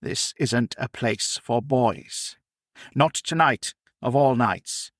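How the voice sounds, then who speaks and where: A man voicing a stern, gruff older Victorian professor who speaks curtly and commandingly. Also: mid-range voice, fairly bright tone, no echo, clean studio sound. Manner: gruff, curt, slow and commanding.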